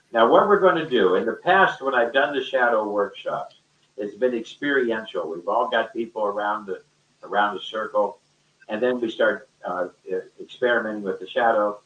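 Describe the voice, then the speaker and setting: A man speaking off the cuff in a rambling, colloquial and emphatic way, as a teacher in an online discussion. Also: lowish voice. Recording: dull, echoey, distant-microphone call audio.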